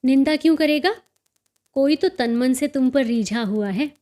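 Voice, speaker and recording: Woman acting a playful high-voiced young sister-in-law. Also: measured pace, darkish tone. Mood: teasing. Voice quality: melodious.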